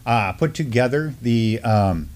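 A middle-aged man co-hosting a news show, reading and discussing articles in an informal, halting way. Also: medium pitch, expressive, a little mellow. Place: indoor studio at a desk.

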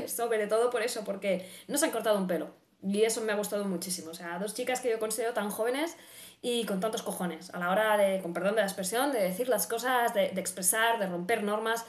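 A woman in her thirties with a Spain Spanish accent, giving a casual, effusive book review and repeating words for emphasis. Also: mid-range voice. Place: small room at home, indoors. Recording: roomy, echoing home recording.

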